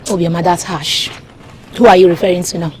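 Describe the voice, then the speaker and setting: An actress playing a concerned, inquisitive village friend with a Nigerian accent in a film drama. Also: female voice, fairly low pitch, fairly even intonation, brisk, heavy background noise, natural voice. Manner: probing, questioning.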